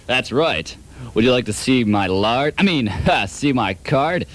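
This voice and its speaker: A man's voice: mid-range voice, animated, measured pace, lightly acted. A man playing a dim, cocky, boastful detective in an amateur comedy radio drama. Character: dim, cocky hard-boiled private detective with boastful airs.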